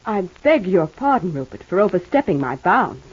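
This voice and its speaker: Bitter, swooping sharp-tongued housekeeper. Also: female voice, lowish voice, very animated, normal speed, husky. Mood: wounded, bitter. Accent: American. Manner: sarcastic, wounded, clipped formality. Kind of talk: 1950s radio soap opera acting. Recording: muffled old radio recording.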